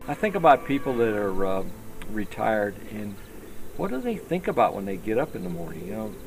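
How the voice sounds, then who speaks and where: Older man, low unhurried voice. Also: big pitch swings, low-quality recording. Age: 70s. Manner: unhurried, with many "uh" pauses. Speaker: sheep farmer. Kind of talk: casual interview. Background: music.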